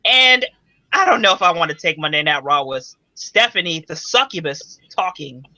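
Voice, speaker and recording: A man co-hosting a casual online panel, excitable and quick to exclaim. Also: fairly high pitch, no room sound, muffled recording. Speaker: panel co-host.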